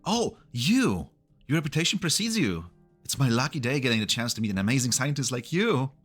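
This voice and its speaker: A man's voice: medium pitch, a little fast, faint music behind. A man playing an eager, polite character in a game fan dub. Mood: eager, flustered. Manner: animated, polite.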